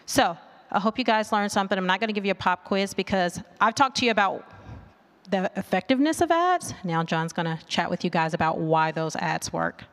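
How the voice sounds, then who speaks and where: A woman's voice: mid-range voice, lively intonation, brisk. A woman giving an informal conference presentation. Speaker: conference speaker, marketing research executive. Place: large room, live event. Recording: reverberant hall sound.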